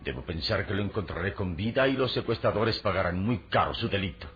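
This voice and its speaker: A man's voice: low-pitched, expressive, a little fast, fairly bright tone. A man acting a noble, brooding adventurer hero in a Latin American radio serial, speaking in grave, formal, dramatic phrases. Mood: anguished, brooding. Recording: dull radio-drama recording with music underneath.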